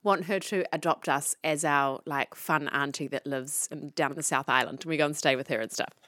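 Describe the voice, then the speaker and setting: A woman's voice: low-pitched, a little fast, bright, forceful tone, dry recording, clean studio sound. A woman co-hosting a podcast in an enthusiastic, gushing, casual way. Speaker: podcast co-host.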